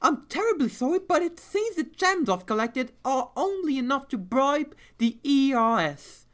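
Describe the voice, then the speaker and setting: A woman's voice: sits high, big pitch swings, normal speed. A woman voicing a haughty, scheming, ladylike pony mare with an affected, dramatic manner in a parody cartoon. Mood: breezy, impatient. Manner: affected, dramatic, drawling grand phrases. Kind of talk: fan parody cartoon dub.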